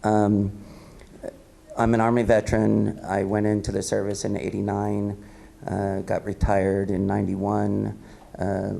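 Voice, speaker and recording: A man in his fifties with a raspy voice, giving a loose, upbeat keynote full of ums at a podium microphone in an event hall. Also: fairly low pitch, dark tone. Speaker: keynote speaker. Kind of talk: casual speech at an awards event.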